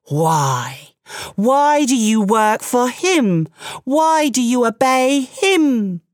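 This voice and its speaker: A haughty tiger king from a storybook, drawing out every demand slowly and loudly, as a woman puts him on. The delivery is unhurried and the recording dry. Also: on the high side, big pitch swings, no echo, pitched above the performer's own voice, exaggerated voice. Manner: slow, commanding, growling demands. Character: proud, bossy male tiger, king of the forest.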